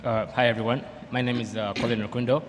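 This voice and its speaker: A man's voice: medium pitch, flat delivery, fast. A man with a Ugandan accent briefly introducing himself as a developer on a conference panel. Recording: noisy echoey hall with crowd murmur.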